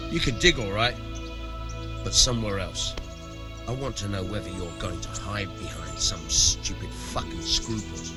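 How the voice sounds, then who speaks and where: An actor playing a shrewd, amoral village miller in a medieval video game, gruff, coarse and slyly persuasive. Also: male voice, lowish voice. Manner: sly, persuasive, coarse-tongued. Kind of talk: video game dialogue acting.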